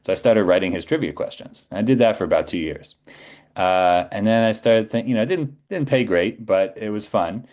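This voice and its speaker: A man's voice: lowish voice, fairly quick, a little mellow. A middle-aged man telling stories in a casual, conversational way as a podcast guest.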